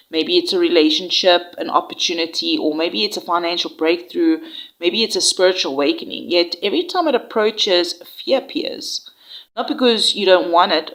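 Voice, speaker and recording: A woman doing a tarot reading in a gentle, reassuring way, with fillers and restarts, her voice nasal and congested from a flu. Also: on the low side. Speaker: tarot reader.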